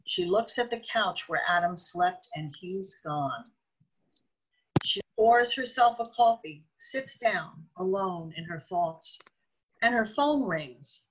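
Husky woman, fairly low pitch, scripted radio drama narration, slightly dull sound. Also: animated, takes it slowly, slight background noise.

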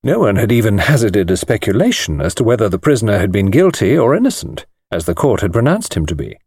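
A man's voice: lowish voice, big pitch swings, fast, darkish tone, slightly rough, dry recording, clean studio sound. A man with a British accent reading a mystery novel as scripted narration. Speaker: audiobook narrator.

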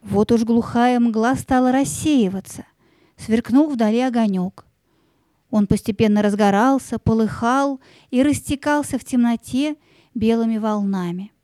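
Mellow, high-voiced woman narrating a fairy tale. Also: a little slow, no echo. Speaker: narrator of an audio play.

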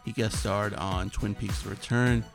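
A male podcast host with a low, deeply gravelly voice, worn down because he is losing it. He talks in a chatty, eager way, with faint music underneath. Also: fairly even intonation, unhurried. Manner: enthusiastic, chatty interviewer. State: losing his voice. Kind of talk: casual interview.

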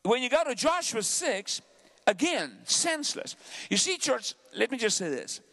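A South African man preaching a sermon to his church, dramatic, dropping to hushed asides and then climbing loudly. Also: very high voice, sing-song, normal speed. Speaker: pastor.

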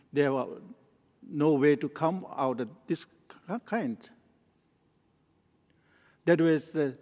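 A man from Nepal, a teacher, giving a spoken teaching in slow, halting English full of restarts. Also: a little high, dark tone. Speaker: Tibetan Buddhist teacher. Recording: long hall reverb.

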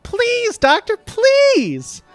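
Nagging cartoon lady, done by a man, very high squeal, impatient and dramatic, music underneath. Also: wildly swooping pitch, extremely slow, dry recording, heavily acted. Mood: pleading. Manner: squealing, scolding, pleading.

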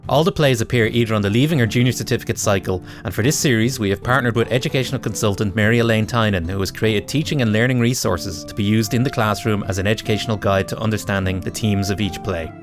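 A low-pitched man delivers a scripted radio announcement. Guitar music plays clearly behind his measured introduction.

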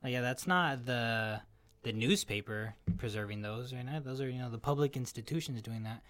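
A man's voice: mid-range voice, moderate pace, a bit bright. A young man co-hosting a podcast, chatting casually and rambling, with many "likes" and restarts. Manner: rambling, heavy use of "like", frequent restarts. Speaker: podcast co-host. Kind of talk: casual chat.

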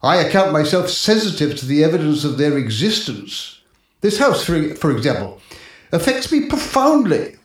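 Solemn ghost hunter, high-pitched, echoing. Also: male voice, very animated, distant mic. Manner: formal, halting, solemn. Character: grave, pompous male ghost hunter doctor, sensitive and foreboding. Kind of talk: 1940s radio acting. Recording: echoing 1940s radio recording, walkie-talkie from afar.

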